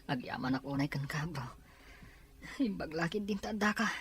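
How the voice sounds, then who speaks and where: A woman's voice: sits low, animated, fairly quick. A woman voicing an unwell, weary, grateful male office worker in an audio drama. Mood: weak, grateful. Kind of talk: Ilocano audio drama acting. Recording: clear background noise.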